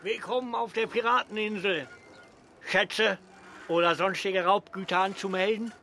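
An actor playing a gruff, bossy but good-natured pirate harbour master in a children's radio play. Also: male voice, high-pitched, fairly even intonation, moderate pace, pushed and bright, a little hiss or hum. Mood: officious. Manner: bossy, teasing, theatrical.

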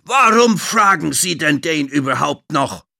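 Man playing a loud, blustering, indignant hotel guest. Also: a little high, expressive, measured pace, creaky, pitched above the performer's own voice, heavily acted. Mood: furious. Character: blustering, angry male hotel guest, red-faced and accusing. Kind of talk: children's audiobook character voice.

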